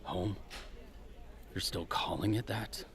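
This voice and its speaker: A man playing a gruff, world-weary cop and loyal partner in a noir audio drama, with a gravelly voice. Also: sits low, slow. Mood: grim warning.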